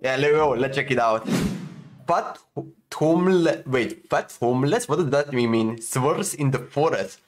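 A man's voice: mid-range voice, expressive, a little slow, soft background music. A man in his twenties giving casual, slangy, exclamation-filled reactions as a video host, recorded close up in a room at home. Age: 20s. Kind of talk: casual excited reaction commentary. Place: home gaming room, indoors.

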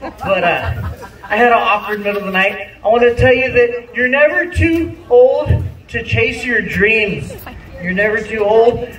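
A man in his late thirties with a fairly high voice does a rambling, self-deprecating open mic set. He often laughs at his own jokes. He speaks into a handheld mic in a small, roomy venue, heard from a phone camera out in the audience. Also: animated, a little slow, a bit bright, far from the mic. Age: 30s. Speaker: stand-up comic. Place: small bar-like comedy venue indoors. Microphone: handheld mic, phone filming from the audience.

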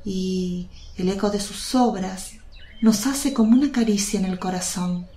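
Lady acting tender calm holy mother, radio drama, gentle, echoey w birds. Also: mid-range voice, a little slow, a little hiss or hum, natural voice. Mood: consoling. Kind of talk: Spanish religious radio drama.

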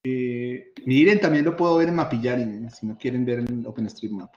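A man's voice: medium pitch, moderate pace. Chatty man demoing his screen, echoey. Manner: chatty, laughs easily, repeats words. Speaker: workshop presenter sharing his screen. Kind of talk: casual live screen-share demo. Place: video call. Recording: echoey room, slightly dull audio.

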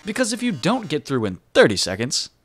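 A hammy robot showman, played by a man, melodramatic and gloating. His voice leaps through a wide pitch range, with soft game music behind. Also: huge pitch swings, fairly quick. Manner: theatrical, melodramatic, mock-grieving. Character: hammy robot TV host, theatrical villain.

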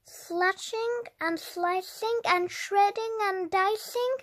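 A young-sounding reader telling a children's story aloud with deliberate, dramatic emphasis. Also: extremely high pitch, fairly bright tone. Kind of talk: expressive read-aloud narration for young children.

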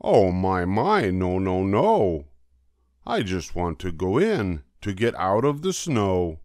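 A carefree, mischievous storybook tomcat, acted out by an older man, showy and pleased with himself. He talks slowly in a lowish voice with big swoops of pitch. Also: very animated, darkish tone, dry recording, studio quality, full character voice. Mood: breezy, dismissive. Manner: breezy, showy, chuckling. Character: jolly, boastful, carefree mischievous male storybook cat.

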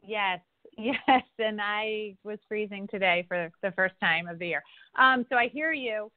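A woman's voice: mid-range voice, unhurried. Woman, congresswoman, telephone town hall, conversational, dry tinny phone audio. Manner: conversational, with frequent restarts and fillers. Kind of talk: answering constituent questions.

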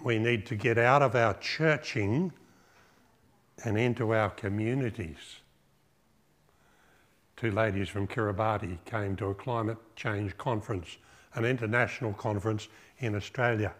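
Slow-speaking older man preaching. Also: on the low side, fairly bright tone. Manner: slow, measured preaching with rhetorical questions and lists. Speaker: pastor. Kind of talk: sermon. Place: small church hall with drum kit, indoors.

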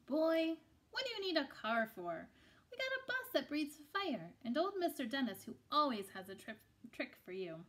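A woman voicing a wise, upbeat grandmother in a storybook, folksy and gently chiding. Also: high voice, big pitch swings, normal speed, pitched above the performer's own voice. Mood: firm, encouraging.

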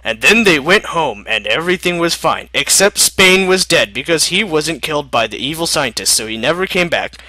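A man reading a comic scripted story as narrator in an animated, dramatic way. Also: a little high, normal speed, few pauses, dry recording, low-quality recording.

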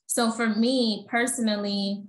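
A woman's voice: fairly high pitch, steady tone, unhurried, roomy sound, rough recording. A middle-aged woman, a nurse, speaking reflectively in a panel discussion over a video call. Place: room at home. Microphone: earbuds on a video call.

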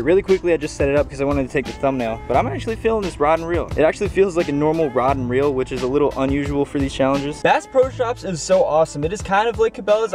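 Casual male vlogger, twenties, American, rapid and informal delivery, voice a touch high. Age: 20s. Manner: informal, chatty, addresses viewers as guys. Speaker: fishing vlogger. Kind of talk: casual vlog talk to viewers.

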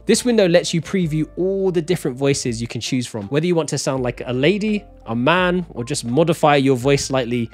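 A man in his thirties hosting a scripted tutorial in an emphatic, animated presenter style, close to a desk microphone. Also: a little high, a little fast, few pauses. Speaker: host of a software tutorial channel. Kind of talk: scripted upbeat video tutorial narration. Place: small home-style studio, indoors. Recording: background music. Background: music.

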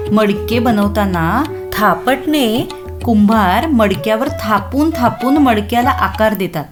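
A woman narrating a scripted children's story expressively, addressing young listeners with questions. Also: a little high.

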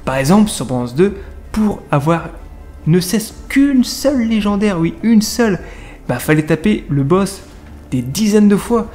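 A man's voice: high-pitched, big pitch swings, a little slow, darkish tone. A man, giving a casual, slangy video game review with conversational asides to viewers. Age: 30s. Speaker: gaming video creator and reviewer. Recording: music under the voice. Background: music.

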